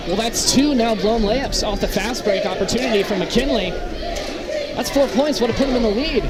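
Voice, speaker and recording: A man's voice: very high voice, moderate pace. A man doing live basketball play-by-play as the announcer, in short, clipped calls. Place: press booth at an indoor basketball game. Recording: very loud crowd and gym noise. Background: loud crowd, bouncing basketballs.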